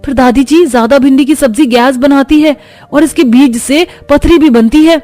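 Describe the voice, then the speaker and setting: A woman's voice: sits high, normal speed, mellow, faint music behind, pitched above the performer's own voice, close to an everyday voice. A woman voicing an exasperated, dutiful young daughter-in-law in a cartoon story. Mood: protesting. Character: harried, grumbling young daughter-in-law cook. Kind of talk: acted moral-story cartoon.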